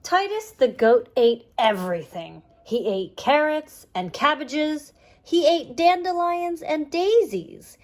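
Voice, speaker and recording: A woman reading a children's storybook aloud in an animated narrating style. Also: high-pitched, slow pace. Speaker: children's audiobook reader.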